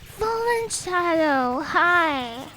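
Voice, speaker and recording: A young woman chatting casually on a game stream. She is a little sniffly, speaks softly, and reads out chat messages. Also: very high-pitched, expressive, very slow, rough recording. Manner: soft-spoken, reads chat aloud. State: sniffly, a bit stuffed up. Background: faint game music.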